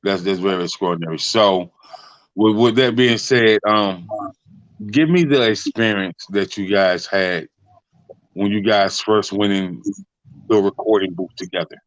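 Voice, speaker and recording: A man's voice: lowish voice, a little slow, no echo, dull audio. A man hosting a live podcast interview, enthusiastic and often repeating his words. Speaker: podcast host.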